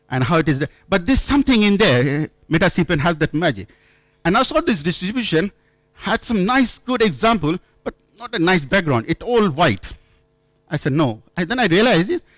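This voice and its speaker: Man, somewhat high voice, conference speaker, halting and storytelling, dry close sound. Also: very animated, dry recording. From India. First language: not English. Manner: halting, frequent restarts and self-corrections, storytelling. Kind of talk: conference talk. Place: conference room.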